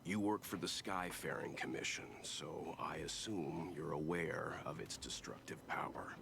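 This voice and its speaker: An extremely low, creaky male voice for a stern, serious video game character. He probes in a grave, measured manner, with noise clearly audible behind him. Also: heavily acted. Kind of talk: game voice acting.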